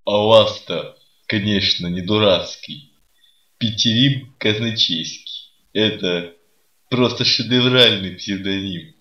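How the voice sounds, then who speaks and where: A man playing a dour, contrary nihilist guest in a comedy radio sketch, sardonic and deadpan. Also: fairly low pitch, slow pace. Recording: roomy, dull lo-fi sound.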